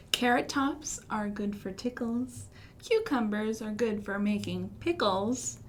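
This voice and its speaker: An American woman leading a storytime for young children in an expressive, sing-song way, with gasps and hushed emphasis. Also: a little high, slight background noise. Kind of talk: children's storytime with singing, rhymes and asides.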